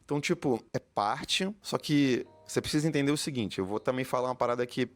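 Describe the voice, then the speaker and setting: A man's voice: mid-range voice, fast talker, soft background music. A man from Brazil, a coach, explaining a match to viewers in an informal, slangy way with frequent repeats. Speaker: esports coach.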